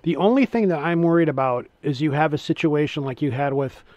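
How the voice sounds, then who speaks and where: A man's voice: on the high side, brisk, darkish tone, no echo, lo-fi sound. A man co-hosting a podcast in casual chat, rambling and restarting his sentences as he hunts for words. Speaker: podcast co-host.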